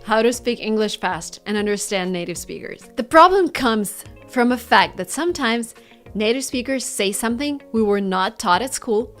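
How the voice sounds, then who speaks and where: A woman's voice: fairly high pitch, normal speed, soft background music. Upbeat woman with a Russian accent. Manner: lively, demonstrating phrases with exaggerated intonation. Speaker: English teacher. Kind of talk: online English lesson.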